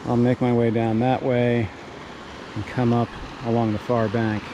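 A man chats to his camera outdoors in a rambling, thinking-aloud way, trailing off and restarting. His voice sits at an ordinary middle pitch. The steady roar of a stream fills the recording behind him. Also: noisy background. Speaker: fishing vlogger. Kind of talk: casual narration while hiking and fishing. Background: rushing stream water.

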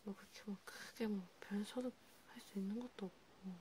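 A woman whispering softly in a halting, conversational way, as in an ASMR counseling roleplay. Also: mid-range voice, steady tone. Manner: hushed, slow, halting, conversational. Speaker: ASMR creator playing a counselor. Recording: noticeable background hiss.

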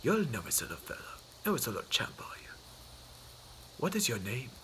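A man voicing a gentle, worldly old tramp who speaks softly and kindly. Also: medium pitch, wildly swooping pitch, a little hiss or hum, pitched below the performer's own voice. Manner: soft, slow and kindly.

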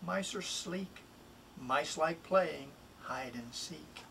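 A middle-aged man, a children's author, introducing and expressively reading a picture book in a deliberate, emphatic way. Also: on the high side, gravelly, fairly bright tone. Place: small wood-panelled home office, indoors. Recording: distant mic, room noise.

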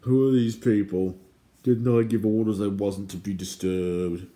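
An irritable little dwarf king from a fantasy storybook, acted by a male reader, blustering loudly and imperiously, with drawn-out, exasperated emphasis. He sounds permanently put upon. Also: on the low side, close to an everyday voice.

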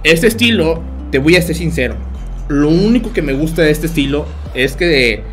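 A man's voice: on the high side, expressive, normal speed, faint music behind. A young man with a Mexican accent, livestreaming a game and chatting with viewers, joking and laughing often as he tells stories. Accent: Mexican Spanish. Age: young adult. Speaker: streamer. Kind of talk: live game stream chat. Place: small bedroom.

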